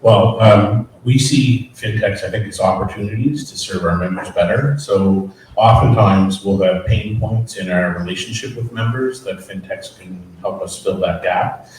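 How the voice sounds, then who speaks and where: A low-voiced man speaks on a panel, relaxed and lightly joking, with plenty of uhs. The mic sits far from him in a very echoey room, with a faint hiss underneath. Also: moderate pace. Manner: easygoing, joking, with uh and um fillers. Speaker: credit union executive. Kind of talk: panel discussion.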